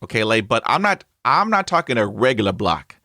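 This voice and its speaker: A man's voice: medium pitch, big pitch swings, fairly quick, bright, forceful tone, no room sound, studio quality. A man hosting a podcast interview, stuttering heavily with blocks and repeated sounds, upbeat and chatty with lots of fillers. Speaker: podcast host. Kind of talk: conversational interview.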